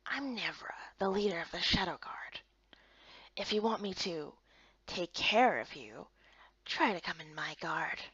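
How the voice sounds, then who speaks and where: Woman putting on a low, murmuring flirtatious guard leader. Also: animated, unhurried, fairly bright tone, breathy edge, no room sound, muffled recording, full character voice. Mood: sly, seductive.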